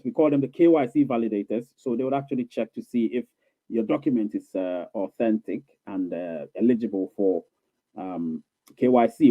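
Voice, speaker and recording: A man's voice: medium pitch, no echo. Man on a tinny live stream. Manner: rambling, repeats himself, many fillers; reassuring, coaxing. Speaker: livestream host reading viewer questions. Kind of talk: casual live-stream chat. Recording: tinny phone-like dry sound.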